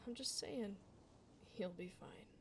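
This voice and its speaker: Creaky-voiced tomboy headless adventurer played by a woman. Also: medium pitch, takes it slowly, a bit bright, a little hiss or hum. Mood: gruffly reassuring. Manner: casual, blunt, reassuring. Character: tomboy dullahan adventurer woman, blunt, gruff but kind. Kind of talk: ASMR fantasy roleplay, close conversational acting.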